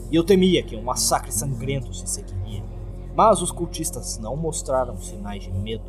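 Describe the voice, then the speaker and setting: Expressive Brazilian man narrating horror over music. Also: medium pitch, moderate pace. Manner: storytelling, expressive. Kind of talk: scripted dramatic creepypasta narration.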